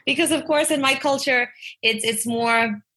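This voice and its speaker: A young adult woman with a Bahamian accent, a teacher answering interview questions in a lively, emphatic way. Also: sits high, moderate pace. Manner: lively, emphatic, restarts phrases.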